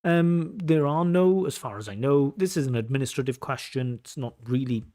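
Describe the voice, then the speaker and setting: Blunt, mellow-voiced male IELTS examiner. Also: mid-range voice, normal speed. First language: English. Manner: direct, blunt advice with rhetorical questions. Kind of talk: livestream Q&A with viewers.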